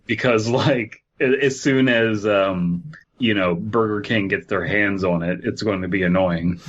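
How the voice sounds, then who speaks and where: A man's voice: on the low side, a little slow, lo-fi sound. A man hosting a podcast, reading aloud and bantering in a joking, sardonic way. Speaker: podcast host. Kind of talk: casual banter, reading a horror wiki aloud.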